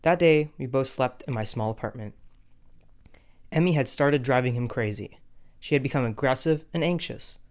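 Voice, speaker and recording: A man's voice: mid-range voice, moderate pace, lightly acted. A man voicing a betrayed young man who tells his family drama wryly, in first-person cartoon story narration. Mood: resigned, scornful.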